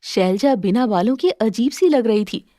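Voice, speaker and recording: A woman narrating a scripted cartoon moral story with expressive, dramatic storytelling. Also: on the high side, normal speed, no echo, studio quality.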